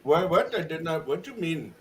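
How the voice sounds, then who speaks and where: A man's voice: a little high, very animated, a little fast, a bit bright, recorded from a distance, a little hiss or hum. A man bantering on a live art stream in a goofy, joking way.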